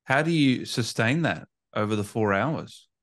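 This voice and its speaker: A man's voice: mid-range voice, expressive, measured pace, fairly bright tone. A man hosting a casual gaming interview, asking conversational questions. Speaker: interviewer, gaming channel host.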